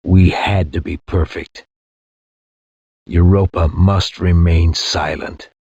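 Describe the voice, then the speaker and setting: A very low, husky male voice plays a war-weary soldier recounting his campaign. He speaks gravely and reflectively, like a dramatic memoir in a video game cutscene. The sound is dry and a little dull. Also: steady tone, slow pace, darkish tone, no echo, exaggerated voice. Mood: grave, tense. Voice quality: deep, husky. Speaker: narrator.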